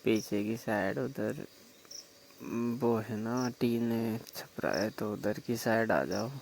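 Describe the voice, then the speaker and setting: A man acts a flirtatious Rajasthani village brother-in-law with a lowish, heavily creaky voice. He coaxes persistently and informally, as in a late-night phone call. Faint noise and night insects sit behind him. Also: takes it slowly, a little mellow. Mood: coaxing. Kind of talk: staged phone-call role-play in casual talk.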